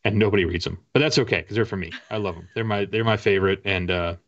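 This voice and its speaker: A man's voice: deep voice, fast, fairly bright tone. A middle-aged man chatting casually as a guest author in an interview, rambling enthusiastically through his stories. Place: indoor room with bookshelves, on a video call. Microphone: video call. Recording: slightly dull video-call audio.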